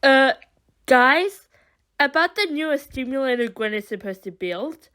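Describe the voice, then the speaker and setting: A woman voicing an excitable, exasperated heroine in an audio drama, with exclamatory, sarcastic complaints. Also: high-pitched. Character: exasperated, excitable woman who teleports with gadgets. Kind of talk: amateur audio drama acting.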